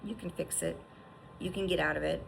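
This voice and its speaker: Gravelly fifty-something woman, low voice, earnest and encouraging, slight hiss behind. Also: steady tone, fairly quick, rough recording. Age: 50s. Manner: earnest, encouraging, repeats herself with restarts and "right?". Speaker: survivor sharing her story. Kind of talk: heartfelt personal testimony.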